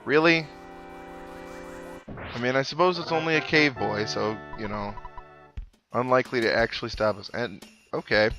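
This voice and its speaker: A man's voice: medium pitch, moderate pace, long pauses. A man hosting a game playthrough, chatting casually with wry, musing asides and reading on-screen text aloud. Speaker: host of a game playthrough series. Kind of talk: casual let's play commentary, reading game dialogue aloud. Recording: game music behind. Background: video game music.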